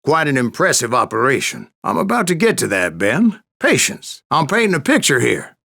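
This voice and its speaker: Old cowboy bounty hunter, American Western drawl, salty folksy storyteller, mid-pitched, dry close sound, western game narration. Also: male voice, big pitch swings, moderate pace, creaky, pushed and bright, dry recording, full character voice. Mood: wry storytelling, then impatient, wistful. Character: old Wild West bounty hunter spinning boastful tall tales.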